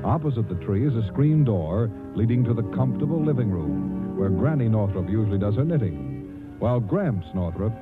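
Over loud music on a tinny vintage recording, a man narrates an old radio play. His voice is fairly low and measured, setting the scene. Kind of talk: scripted 1940s radio narration.

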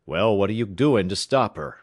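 A man's voice: sits low, lively intonation, moderate pace, no room sound, studio quality. A man voicing a middle-aged railway superintendent, hearty and commanding, in an audiobook story. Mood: sharp, urgent. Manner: hearty, then urgent and clipped.

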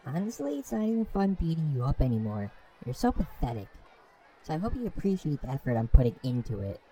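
A man's voice: a little high, huge pitch swings, fast, mellow, a little hiss or hum, poor audio. A man voicing a contemptuous young bully with a taunting, mocking manner in a comic dub. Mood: sneering, mocking. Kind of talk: comic dub character acting.

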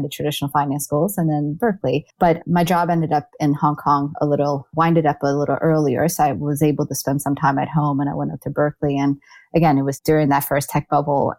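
A woman's voice: sits low, a little mellow. A woman in her forties from Taiwan, answering interview questions in a reflective way, with fillers and restarts. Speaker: guest, alumna.

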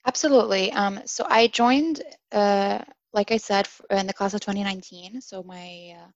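A woman in her twenties from Syria who grew up in Dubai, a former international student talking casually as a podcast guest, lively and full of fillers. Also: mid-range voice, dry recording, highs cut off. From Syria, raised in Dubai. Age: 20s. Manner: animated, chatty, with many fillers and laughs.

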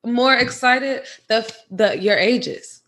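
A chatty woman. Her voice sits a bit high, and she laughs easily as she talks. She keeps the interview loose and casual. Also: takes it slowly, fairly bright tone. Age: 20s. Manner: laughs often, chatty, uses fillers. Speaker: podcast host.

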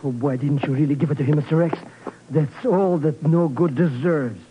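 Excitable high-pitched comic sidekick. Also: male voice, normal speed, slightly rough. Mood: indignant. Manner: excitable, exclamatory, slangy. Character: excitable comic male sidekick, cheeky, jittery and eager. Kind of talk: 1950s radio drama acting. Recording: dull, noisy old radio broadcast.

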